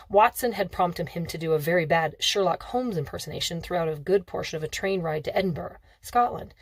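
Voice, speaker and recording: A woman reading a novel aloud as expressive scripted narration. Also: fairly low pitch, no room sound. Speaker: audiobook reader.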